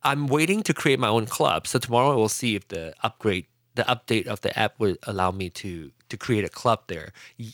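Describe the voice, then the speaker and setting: A man from Malaysia whose first language is Hokkien, talking casually as a podcast guest, with frequent restarts and pitch swings. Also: dry recording, clean studio sound. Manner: halting, many restarts, lively pitch swings. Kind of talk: casual conversational interview.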